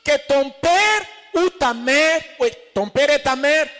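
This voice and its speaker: High-pitched male preacher in a reverberant hall. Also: lively intonation, takes it slowly. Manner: emphatic, repetitive, swings between quiet asides and shouted declarations. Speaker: pastor preaching. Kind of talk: sermon delivered phrase by phrase for an interpreter. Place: large echoing hall.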